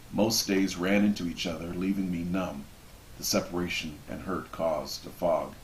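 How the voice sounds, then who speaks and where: A man reading a book passage aloud expressively, with measured, deliberate phrasing and dramatic pauses. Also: deep voice. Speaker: reader of a book introduction. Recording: roomy echo with background noise.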